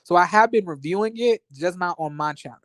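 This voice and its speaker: A man with an American accent chatting casually as a livestream host, in a sassy, expressive, slangy conversational way. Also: sits high, a little fast, a little hiss or hum. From United States, born in rural Florida and raised in Las Vegas. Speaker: YouTube reviewer and livestream host. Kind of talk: casual livestream chat with viewers.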